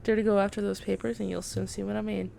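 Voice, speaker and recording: A woman's voice: medium pitch, little pitch movement, quick pace, gravelly, mellow, slight background noise. A woman voicing a taunting, cocky young female villain from a video game, haughty and theatrical. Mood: menacing taunts.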